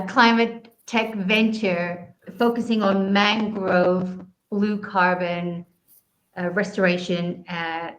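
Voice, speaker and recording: A woman's voice: fairly low pitch, dull audio. A woman from Southeast Asia, a guest answering interview questions in an earnest way, explaining at length with frequent ums.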